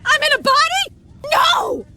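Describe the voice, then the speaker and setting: A bratty cynical little soul. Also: female voice, very high-pitched, slow, bright, forceful tone, a little hiss or hum, full character voice. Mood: horrified. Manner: sarcastic and whiny. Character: stubborn, cynical young female soul.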